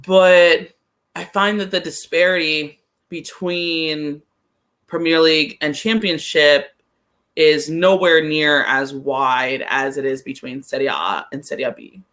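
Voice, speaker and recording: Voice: medium pitch, steady tone, takes it slowly, a bit bright. Casual, tentative football podcast guest. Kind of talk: casual sports podcast discussion.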